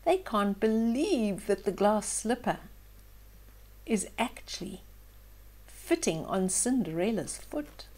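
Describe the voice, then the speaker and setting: An older woman reading a fairytale aloud to children in an expressive way, with simple explaining asides to young listeners. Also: medium pitch, takes it slowly. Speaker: children's storyteller, host.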